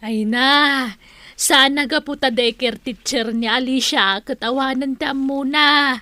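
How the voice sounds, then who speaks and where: An actress playing a level-headed friend who questions and chides a gossip in an Ilocano radio drama. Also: female voice, sits high, fairly even intonation, a little slow, sharp tone, no room sound. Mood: chiding. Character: level-headed female friend advising a gossip to hold back.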